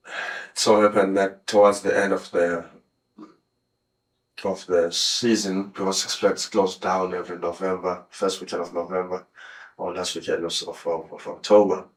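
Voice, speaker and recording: A South African man in his thirties, in a police interview room, recounting his story haltingly with many restarts. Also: deep voice, low-quality recording. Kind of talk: recounting events under questioning.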